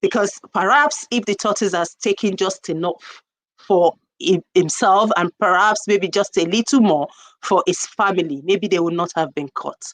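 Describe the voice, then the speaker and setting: A woman with a Nigerian accent hosting a folktale talk, speaking reflectively and deliberately, repeating questions and phrases for emphasis. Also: mid-range voice, fairly bright tone, rough recording. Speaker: storyteller host.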